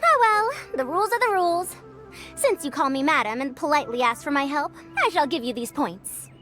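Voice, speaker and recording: A proud female scholar from a video game, high-pitched and very expressive. She talks formally, with a lofty, magnanimous confidence. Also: a little fast, faint music behind. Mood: resigned. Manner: self-assured, lofty, formal. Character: proud female senior scholar, youthful-sounding, brisk, magnanimous madam.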